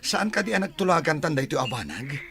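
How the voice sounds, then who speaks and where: A man's voice: a little high, big pitch swings, fast, gravelly, sharp tone, heavily acted. A man with an Ilocano accent playing a lovesick, flustered young man pleading melodramatically in a radio drama.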